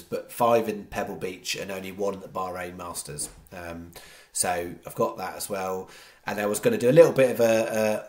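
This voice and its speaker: Congested, rambling man tipster. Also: on the low side. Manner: rambling, many restarts and fillers. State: congested with a cold. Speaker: horse racing tipster host. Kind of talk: casual chat to camera. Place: home studio.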